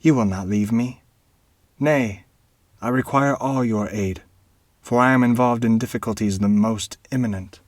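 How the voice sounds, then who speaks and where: A man's voice: mid-range voice, measured pace, no room sound, clean studio sound, pitched above the performer's own voice, natural voice. A man doing a pleading young baron. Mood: imploring. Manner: earnest, imploring.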